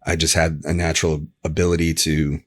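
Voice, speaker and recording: A man's voice: extremely low pitch, flat delivery, brisk. A man from Arizona with an American accent, talking casually and candidly as a podcast guest and telling personal stories. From Arizona, United States. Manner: informal, candid.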